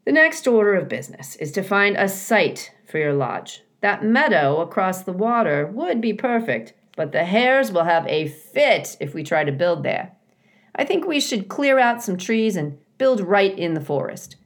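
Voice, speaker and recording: A woman voicing a folksy, bossy but kindly male beaver builder in a children's storybook, chatty and gruff in manner. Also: medium pitch, big pitch swings, unhurried, pitched above the performer's own voice.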